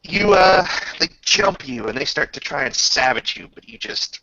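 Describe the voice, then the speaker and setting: A man speaking as game master in a casual tabletop roleplaying session, halting and muttering through rules and dice. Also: on the high side, lively intonation, pushed and bright, a little hiss or hum. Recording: dull lo-fi recording.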